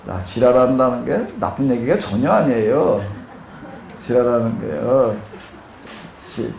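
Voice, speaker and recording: Male pastor, Jeolla accent, rambling lecture style with questions to the audience; gravelly, slightly high voice, echoey room. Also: sing-song, measured pace, darkish tone. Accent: Korean Jeolla dialect. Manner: rambling, many fillers, asks the audience questions. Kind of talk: Bible seminar lecture. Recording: echoey room with faint noise.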